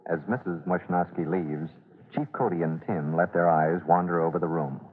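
A man with a fairly low voice narrates in the manner of a 1940s radio serial announcer. His scripted delivery is formal and dramatic. The recording is thin and narrow, like an old broadcast. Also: moderate pace, slightly rough. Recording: tinny, band-limited old radio recording.

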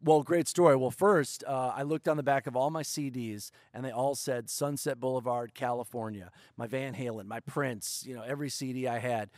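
A man's voice: mid-range voice, steady tone, normal speed, few pauses, a little mellow, dry recording, clean studio sound. Playful middle-aged man telling stories. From Detroit, Michigan. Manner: playful, jokey storyteller. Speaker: songwriter guest. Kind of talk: casual podcast storytelling.

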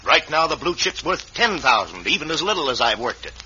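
An actor playing a big, gray-haired, hard-luck miner, folksy and indignant, in an old radio western. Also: male voice, a little high, sing-song, a little fast, bright, forceful tone, heavily acted. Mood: protesting. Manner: folksy, ungrammatical frontier talk. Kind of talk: 1940s-50s radio western acting. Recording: muffled, noisy vintage radio recording.

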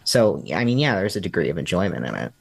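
A young man speaking as an interview guest, thinking out loud with many pauses and ums. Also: low-pitched, sing-song, creaky, husky, dry recording. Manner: hesitant and reflective, many ums. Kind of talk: candid personal interview.

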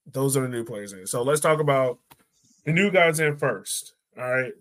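A man's voice: medium pitch, lively intonation, moderate pace, a bit bright. A man hosting a sports podcast in a casual, conversational way. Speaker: podcast host.

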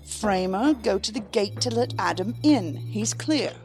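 A woman playing a brisk, protective tech operative in a science fiction audio drama. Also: medium pitch, expressive, lightly acted. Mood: firm, pleading. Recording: music under the voice.

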